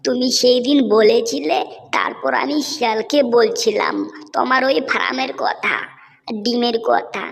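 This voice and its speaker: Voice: mid-range voice, unhurried, vocal fry, fairly bright tone. An actor voicing a frightened crocodile in a cartoon animal story, whining and pleading innocence. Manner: pleading, whiny. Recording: long hall-like reverb on the voice.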